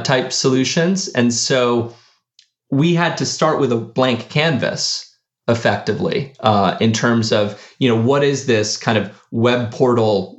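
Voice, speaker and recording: A man, a company founder, answering interview questions in a halting way with many fillers and restarts. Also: medium pitch, reverberant, highs cut off. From United States.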